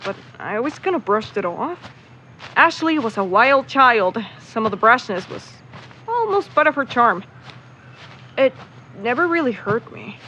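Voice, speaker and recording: A woman's voice: fairly high pitch, fairly bright tone, a little hiss or hum, low-quality recording. A woman playing a feisty, brash, foul-mouthed young woman in a naturalistic audio drama. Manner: brash, snappy.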